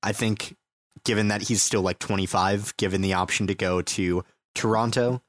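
Young man, fairly low voice, dry close sound, hesitant, stammering, lots of fillers. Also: fairly even intonation, normal speed, no room sound. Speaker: podcast co-host. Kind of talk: casual soccer preview chat.

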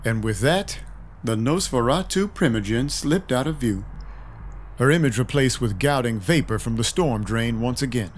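A man's voice: mid-range voice, lively intonation, moderate pace, slight background noise, close to an everyday voice. A man playing a dry, brooding, world-weary vampire detective with terse noir delivery in an audio drama. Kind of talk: first-person noir narration, audio drama acting. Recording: loud music behind the voice.

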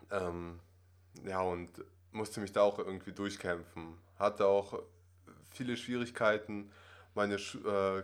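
A man in his twenties who grew up in Russia, talking casually as a podcast guest, rambling with many fillers and tag questions. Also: lowish voice. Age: 20s. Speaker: acting student guest.

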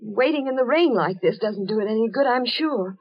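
A dull old radio recording of a jittery young woman. Her fairly high, very animated voice is solicitous and easily frightened. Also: brisk. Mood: uneasy. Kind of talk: old-time radio drama acting.

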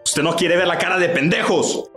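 A man's voice: high-pitched, moderate pace, fairly bright tone, faint music behind. Man acting echoey, brutal army captain. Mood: menacing. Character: brutal, foul-mouthed, menacing army captain. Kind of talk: amateur radio drama acting. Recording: roomy, echoing voice track.

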